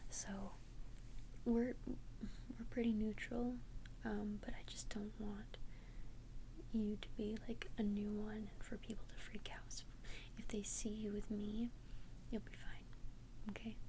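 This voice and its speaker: A caring female vampire best friend, played by a woman in an ASMR roleplay, speaks in a breathy whisper. She is protective and reassuring, taking her time with slow, halting, soothing phrases that stay on a level tone. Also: mid-range voice, flat delivery, lightly acted, low-quality recording. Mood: earnest, protective.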